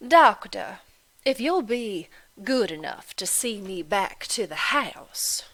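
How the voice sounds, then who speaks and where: A woman voicing a sneering, coarse landlady who bullies her tenant, loud and drawling. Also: medium pitch, big pitch swings, slow pace, full character voice. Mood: scornful.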